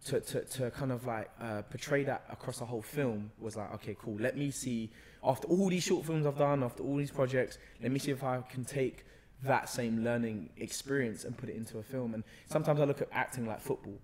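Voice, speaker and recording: A man's voice: medium pitch, a little fast, darkish tone. Young London man telling stories casually. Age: young adult. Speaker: actor, podcast guest. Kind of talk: casual conversational storytelling. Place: bright indoor room, seated on a sofa. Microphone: podcast microphone on a boom arm, close.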